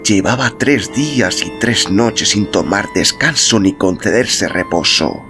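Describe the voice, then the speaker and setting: A man with a low, gravelly voice narrates an audiobook. His delivery is measured and dramatic, with lively rises and falls, over clearly audible music. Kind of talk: expressive literary narration.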